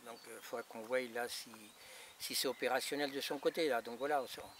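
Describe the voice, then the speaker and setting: A man's voice: medium pitch, fairly even intonation, a little fast. A man in his fifties talking casually and repetitively as a radio operator, recorded outdoors by a camera a short way off. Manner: repetitive, colloquial. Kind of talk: casual two-way radio chat. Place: outdoors by a gravel drive in a rural garden. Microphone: camera a metre or two away. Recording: noisy outdoor camera audio with wind.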